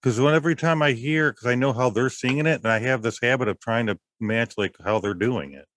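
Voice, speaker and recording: A man co-hosting a podcast in a casual chat, easygoing and quick to joke about himself. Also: medium pitch, a bit bright, no room sound. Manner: easygoing, self-deprecating, quick with jokes. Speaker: podcast co-host.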